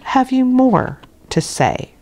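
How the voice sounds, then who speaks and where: A woman's voice: high-pitched, big pitch swings, takes it slowly, dark tone, pitched above the performer's own voice, exaggerated voice. A woman voicing a stern, cold aunt in her thirties in a storybook, clipped and icy with suppressed irritation. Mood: icy challenge.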